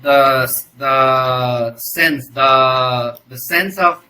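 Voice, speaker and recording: A man's voice: mid-range voice, very slow, sharp tone. A man from Nepal with a Nepali accent, giving hesitant, conversational answers as an interview guest, often adding "you know". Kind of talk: casual interview talk.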